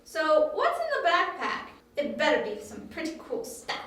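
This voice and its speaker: A cheeky, casual young woman sidekick, played by an actress, speaks high with lively swings. The amateur audio drama places her in an echoing room with faint noise. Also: moderate pace, recorded from a distance, full character voice. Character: cheeky, casual young female helper of detective. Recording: noisy, echoey room, off mic.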